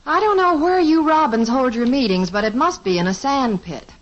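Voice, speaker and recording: A woman's voice: high-pitched, lively intonation, normal speed. A dry-witted, teasing mother. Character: patient, teasing middle-aged mother and wife. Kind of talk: 1950s radio sitcom acting. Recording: dull lo-fi old radio transcription.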